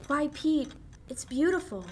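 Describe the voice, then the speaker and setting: A woman's voice: high-pitched, expressive, a little slow, darkish tone, slight background noise. A young woman acting a detective's feisty, devoted sidekick in 1940s radio style, earnest and coy. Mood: coy, curious. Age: young adult.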